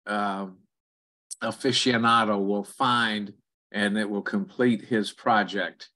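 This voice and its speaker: A man with a fairly low voice and a sharp, bright edge chats casually on a conference call. He delivers his remarks in short broken pieces, pausing between them. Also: fairly even intonation. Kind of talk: casual chat. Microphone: online conference call.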